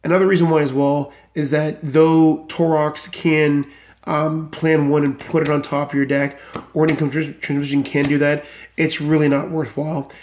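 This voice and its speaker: Enthusiastic male deck reviewer, slightly high voice, still recovering from illness, chatty and rambling. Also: flat delivery, normal speed, a little mellow. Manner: enthusiastic, rambling with many fillers. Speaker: card game deck reviewer. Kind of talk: casual deck walkthrough.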